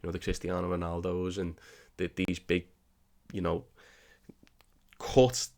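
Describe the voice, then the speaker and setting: A man's voice: low-pitched, flat delivery, measured pace, gravelly, darkish tone. A man in his thirties who has a cold, casually commenting over football clips in a rambling, chatty way. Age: 30s. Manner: chatty and rambling, with many restarts. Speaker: sports video host.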